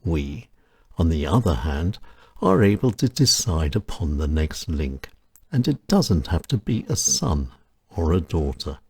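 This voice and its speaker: Deep, slow, husky-voiced male narrator. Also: sing-song, dry recording. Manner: measured, solemn, reflective delivery. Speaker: host and writer reading his own essay. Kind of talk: scripted reflective narration.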